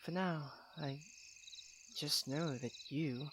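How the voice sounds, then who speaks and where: A man's voice: fairly high pitch, slow. A man playing a young tsundere prince, haughty yet tender-hearted, speaking formally and intimately to the listener in an ASMR roleplay. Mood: vulnerable, hesitant. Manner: formal, princely, precise wording. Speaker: roleplay voice actor. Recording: clear ambient noise with chirping crickets. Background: night crickets and insects.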